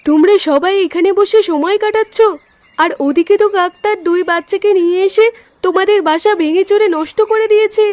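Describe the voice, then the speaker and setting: A woman's voice: very high voice, a little fast, a little mellow, dry recording. A woman voicing a helpful, clever deer in a cartoon, urgent and earnest. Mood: alarmed. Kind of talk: cartoon story voice acting.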